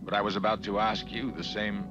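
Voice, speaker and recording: An actor playing a calm, logical male alien science officer in an animated series, speaking in a level, precise manner. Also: on the low side, brisk, muffled recording, music in the background, lightly acted.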